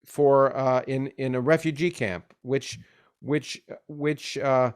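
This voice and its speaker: Man in his 60s, podcast host, halting stop-start questions, average pitch. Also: steady tone, smooth. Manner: halting, many restarts and fillers. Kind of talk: interview conversation.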